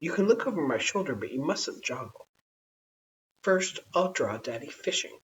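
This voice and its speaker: Storybook part: chatty little girl, polite and eager played by an adult reader, swinging pitch, dull distant recording. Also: very animated, moderate pace, natural voice. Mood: cheerful, explaining.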